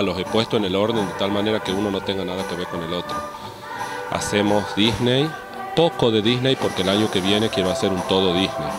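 An Argentine man with a low-pitched voice explains things in an informal interview, often pausing to find his words. Loud music plays behind him throughout. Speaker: orchestra conductor being interviewed. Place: theatre, on location.

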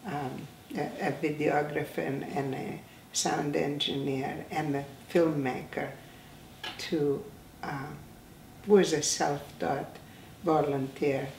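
A woman in her eighties from Greece, giving an oral history interview, talking slowly with many halting restarts. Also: low voice, fairly even intonation, darkish tone, a little hiss or hum. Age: 80s. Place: indoor room, seated in a chair. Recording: roomy, slightly distant sound.

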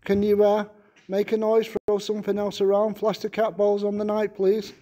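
A man's voice: high-pitched, monotone, moderate pace, mellow. A man with a Manchester accent, a ghost hunter politely calling out to a spirit in a stone castle room at night. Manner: polite, coaxing, repeats requests with please. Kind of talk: paranormal investigation calling out to spirits.

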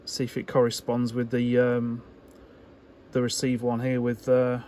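Slow, hesitant man demonstrating at a workbench. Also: mid-range voice, monotone, mellow. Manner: hesitant, unscripted, halting phrasing. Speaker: electronics hobbyist presenter. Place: indoor electronics workbench. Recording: clear background noise.

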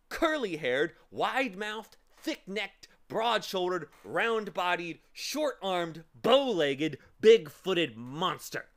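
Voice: mid-range voice, a bit bright, voice pushed up. A narrator voicing a small furry demon from a storybook, theatrical and insincere in manner. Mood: boastful menace. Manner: theatrical, boastful listing, turning to sobbing. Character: small furry demon of insincerity, boastful, gleeful, then whimpering.

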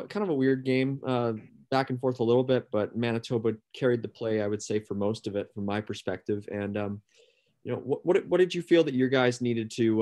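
A man's voice: mid-range voice, darkish tone. A man moderating a postgame press call, asking casual, rambling questions with fillers. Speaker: team broadcaster interviewer.

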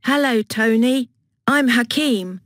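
A woman voicing a friendly young schoolboy in a textbook dialogue, speaking slowly and clearly. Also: fairly high pitch, no echo, studio quality. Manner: slow, clearly spoken greetings.

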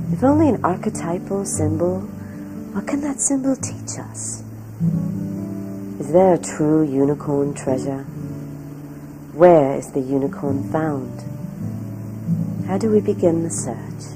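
A woman narrating a documentary from a script in a measured way, often posing questions. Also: sits low, lively intonation, music in the background, poor audio.

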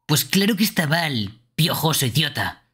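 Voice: mid-range voice, big pitch swings, moderate pace, fairly bright tone, breathy edge, heavily acted. A performer voicing a brash, foul-mouthed young male killer in a horror game, blunt and aggressive. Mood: angry.

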